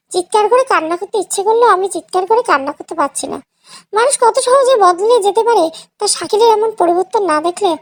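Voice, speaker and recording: A woman with an extremely high voice narrates an emotional story in the first person. Her delivery is scripted, dramatic and sorrowful.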